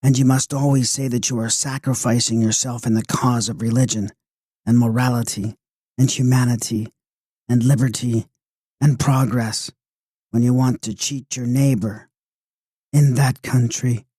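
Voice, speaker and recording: A man's voice: medium pitch, level tone, moderate pace, warm tone, slightly rough, dry recording, lightly acted. A man voicing a mysterious old lady guide who speaks in a repetitive sing-song.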